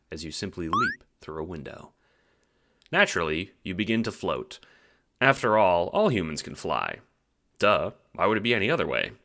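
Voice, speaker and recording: A man narrating a scripted second-person story as an audiobook, with theatrical, expressive delivery. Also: deep voice.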